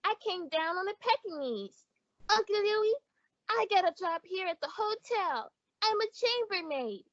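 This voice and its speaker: Woman playing a very high, cheeky little girl. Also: lively intonation, a little slow, fairly bright tone, no room sound, highs cut off, exaggerated voice. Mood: cheeky, proud. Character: cute, cheeky three-and-a-half-year-old little girl. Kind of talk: 1940s radio comedy acting.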